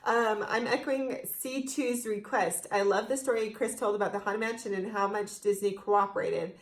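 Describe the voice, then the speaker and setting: A woman's voice: mid-range voice, fairly even intonation, normal speed, reverberant. A young adult American woman co-hosting a video in an informal, conversational way. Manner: informal, peppered with "like". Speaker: co-host of a theme park video channel. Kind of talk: casual chat.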